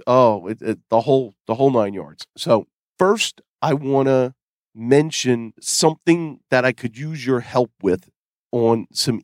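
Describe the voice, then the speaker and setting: A man's voice: mid-range voice, no echo, clean studio sound. A middle-aged man hosting a casual tech podcast, sick with a cold and losing his voice, chatting in a rambling way with fillers and restarts. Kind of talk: casual solo podcast talk.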